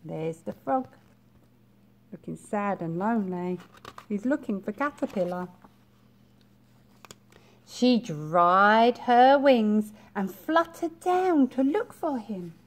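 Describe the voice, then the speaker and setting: A young woman reading a picture book to small children, expressive and deliberate, with pauses. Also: mid-range voice. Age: young adult. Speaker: reception class teacher. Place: small bright school classroom, indoors.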